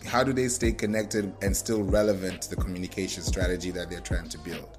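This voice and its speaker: A man hosting a casual interview, warmly affirming his guest and often voicing agreement before asking his next question. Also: low-pitched, steady tone, a little fast, music in the background. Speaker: interview host.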